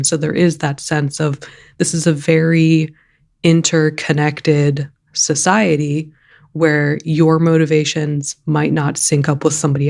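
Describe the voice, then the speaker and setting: A woman's voice: deep voice, vocal fry, a little mellow. A woman on a film panel talking enthusiastically about a movie she loved. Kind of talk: casual discussion.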